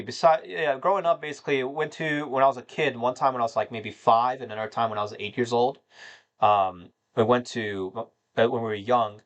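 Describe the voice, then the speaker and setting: A man in his thirties from North Carolina, casually chatting and answering viewer questions on a livestream, rambling with many fillers. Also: medium pitch. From United States (North Carolina). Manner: rambling, filler-heavy, addresses chat as "man". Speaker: livestream host answering chat.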